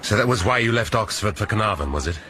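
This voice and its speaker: An actor playing a shrewd police inspector questioning a suspect with dry irony. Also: male voice, lowish voice, lively intonation, fast talker, noisy background, lightly acted. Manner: dry, probing.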